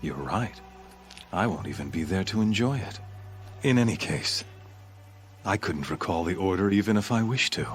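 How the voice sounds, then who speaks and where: A man playing a cold, pompous zealot villain in a video game, gloating in a measured, theatrical sermon. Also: low-pitched, animated, soft background music. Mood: smug, cold.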